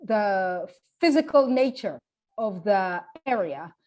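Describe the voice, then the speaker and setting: Tanzanian lady being interviewed, thoughtful and measured, explains things carefully as she goes. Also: mid-range voice, lively intonation, a little mellow. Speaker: interviewee, feminist public intellectual. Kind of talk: reflective oral history interview.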